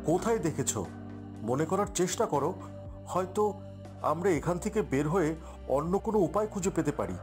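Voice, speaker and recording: A man playing an earnest, protective young adventurer in an animated fairy tale. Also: fairly high pitch, moderate pace, pauses often, faint music behind, close to an everyday voice. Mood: encouraging.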